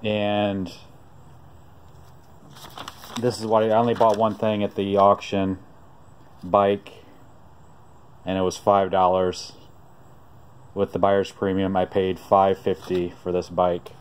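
A man narrating off-camera outdoors while filming a vintage find, telling the story in a relaxed, rambling way with frequent pauses. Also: sits low, slight background noise. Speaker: vlogger showing a vintage find. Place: outdoors on a driveway.

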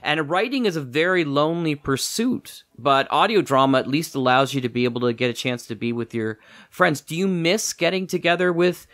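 A man's voice: fairly high pitch, lively intonation, a little fast, a bit bright, no room sound. A man interviewing a guest in a casual, conversational podcast style.

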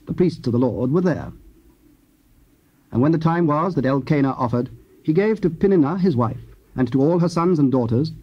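Casting: male narrator, medium pitch, grainy husky tone, measured and solemn scripture reading, muffled tape sound. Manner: measured, formal. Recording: dull cassette tape transfer.

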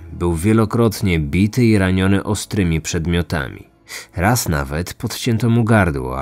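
A man's voice: deep voice, a little mellow. A man narrating a scripted true-crime podcast. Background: faint music.

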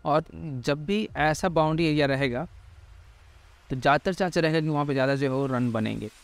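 A man's voice: on the high side, brisk, no echo. An Indian man presenting informal sports analysis, explaining things repetitively and addressing listeners directly. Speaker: cricket pitch report presenter.

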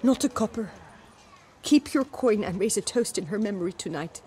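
An actress playing a humble, mournful flower seller in a video game, plain-spoken and wistful, in short phrases. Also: female voice, medium pitch, animated, moderate pace, a little mellow, husky. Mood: kindly, wistful.

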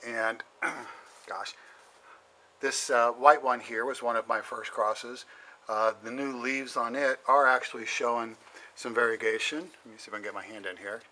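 A middle-aged man giving a casual, halting tour of his basement plant shelves, with many ums and frequent throat clearing, recorded on a handheld camera. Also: medium pitch, steady tone, bright, forceful tone. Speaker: home plant grower. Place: indoor basement grow shelves.

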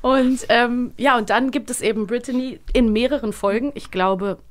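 A woman's voice: fairly high pitch, normal speed. Enthusiastic young woman co-host. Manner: enthusiastic, rambling, many fillers. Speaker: podcast co-host. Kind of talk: casual studio interview. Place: studio.

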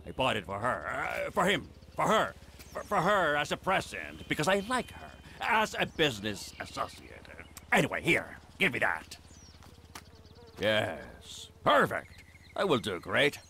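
A man's voice: medium pitch, wildly swooping pitch, unhurried, vocal fry, pushed and bright, slight background noise, full character voice. An actor playing a shady, over-friendly male businessman in a video game, gushing theatrically and easily flustered. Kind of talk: video game cutscene acting.

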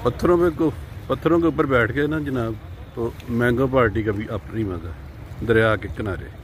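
A middle-aged man, a vlog host cheerfully narrating while holding his phone out on a bridge in the rain. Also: medium pitch. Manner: cheerful, lively, repeating praise for the weather. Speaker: vlogger host. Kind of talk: casual travel vlog narration. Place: outdoors by a river, on a bridge in the rain. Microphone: phone held at arm's length. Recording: wind noise and rushing water.

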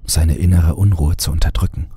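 Male narrator, horror audio story, bottomless low pitch, dark husky timbre, measured delivery, dramatic pauses. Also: steady tone.

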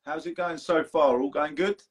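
A man's voice: a little high, steady tone, normal speed, husky. A man hosting a casual podcast interview over a video call, chatty and laddish, swearing freely. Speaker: podcast host.

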